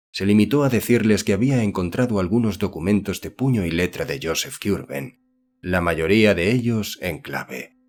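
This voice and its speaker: A man reading a horror novel as an audiobook narrator, in a measured, formal storytelling manner. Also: low voice.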